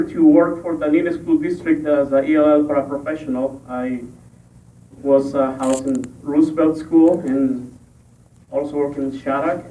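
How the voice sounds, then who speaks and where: A man from Ecuador, a school board candidate, giving a halting forum speech with many pauses and fillers. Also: fairly high pitch, mellow, a little hiss or hum. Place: library meeting room. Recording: echoey room, tinny and distant.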